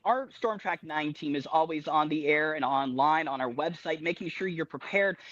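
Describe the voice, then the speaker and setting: High-voiced man reporter on dull live feed. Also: normal speed, fairly bright tone, no echo. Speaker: field reporter.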